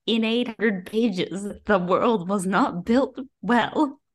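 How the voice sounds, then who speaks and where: A woman's voice: mid-range voice, takes it slowly. A woman co-hosting a book podcast in a casual chat, giving blunt, jokey opinions.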